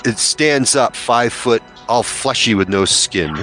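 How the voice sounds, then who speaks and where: A man's voice: medium pitch, takes it slowly, bright, forceful tone, rough recording. A man acting as game master, narrating and refereeing a tabletop role-playing game over music. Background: background music.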